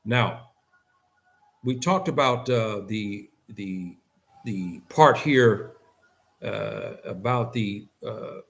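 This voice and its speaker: A man's voice: fairly low pitch, very slow, gravelly. A man with an American accent giving opinionated, unscripted talk in an emphatic, insistent manner, repeating phrases for stress. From United States. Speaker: host and commentator.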